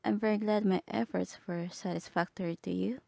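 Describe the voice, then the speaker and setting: Girl voicing a sweet shy bard in love, asmr roleplay, breathy n creaky, super soft and polite. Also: mid-range voice, steady tone, a little fast, no room sound, clean studio sound. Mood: gentle, cheerful. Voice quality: soft, breathy, often half-whispered. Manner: tender, hesitant, polite.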